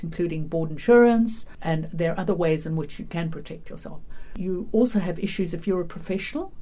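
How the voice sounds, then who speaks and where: A woman from South Africa with a South African accent, giving measured, practical advice on a radio panel. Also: on the low side, warm tone. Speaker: panel guest, governance academic. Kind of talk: casual radio panel discussion.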